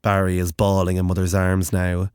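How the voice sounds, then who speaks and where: A narrator reading dark, literary horror fiction in a deliberate, intense and dramatic way. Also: sits low, monotone, clean tone, no echo, studio quality.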